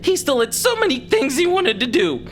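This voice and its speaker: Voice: sits high, big pitch swings, normal speed, a little hiss or hum. An actor playing an anxious spaceship pilot in an audio drama, nervous and rambling, with a sarcastic streak. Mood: anxious, panicky. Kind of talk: scripted audio drama acting.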